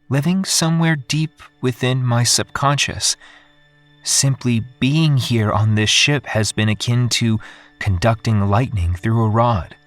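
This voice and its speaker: An actor playing an introspective researcher, giving a measured, contemplative first-person narration in an audio drama. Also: male voice, medium pitch, no echo, lightly acted. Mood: reflective, uncertain. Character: solemn, introspective male researcher recounting his ordeal. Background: music.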